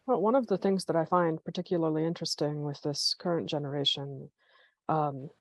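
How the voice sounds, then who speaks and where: Low-voiced enthusiastic woman host. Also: fairly even intonation, fairly quick, no room sound. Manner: enthusiastic, many "you know" fillers. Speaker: podcast host and interviewer. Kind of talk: conversational interview.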